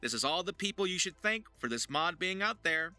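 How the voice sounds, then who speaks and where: A man's voice: sits high, lively intonation, fairly quick, bright, forceful tone, no room sound, faint music behind. A man hosting an informal voice-acting tutorial, talking straight to viewers like an earnest coach. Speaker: voice actor and video host.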